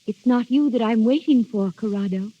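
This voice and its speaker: Casting: woman for a wistful, faraway young noblewoman, higher voice, formal 1930s radio style, lo-fi recording. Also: normal speed, natural voice. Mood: dreamy, expectant. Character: dreamy, otherworldly young noblewoman in love. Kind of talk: 1930s radio drama acting. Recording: muffled vintage 1930s radio recording, faint music.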